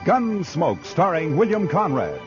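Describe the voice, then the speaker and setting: A man's voice: on the high side, huge pitch swings, a little slow. A man with an American accent giving portentous, emphatic narration as a 1950s radio announcer. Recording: muffled old radio recording. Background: loud dramatic music.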